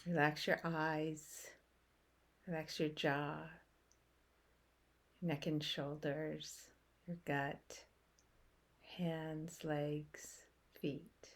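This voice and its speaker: Woman doing guided meditation, lowish voice, really slow, soothing, leaves big gaps between phrases. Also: flat delivery. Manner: soothing, unhurried, with long pauses between short phrases.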